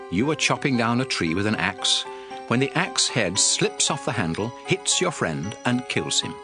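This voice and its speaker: Commanding male lawgiver: solemn, oratorical scripture drama, highly animated mid-range voice with music underneath. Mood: solemn instruction. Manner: emphatic, oratorical. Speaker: scripture narrator playing a prophet and lawgiver. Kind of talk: dramatized audio Bible reading.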